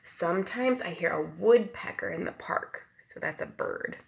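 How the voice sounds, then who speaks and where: A woman's voice: on the low side, measured pace, vocal fry. A woman teacher reading a picture book aloud to young children, expressively, acting out the sound words and explaining things simply. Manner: acts out sound words, dropping to whispers and rising to loud calls; asks the young listeners questions and explains simply.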